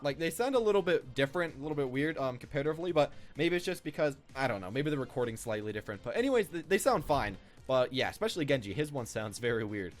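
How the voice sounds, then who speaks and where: A man's voice: mid-range voice, very animated, fast, hardly any pauses. A man giving casual, unscripted, rambling commentary as the host of a gaming video. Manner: rambling, informal.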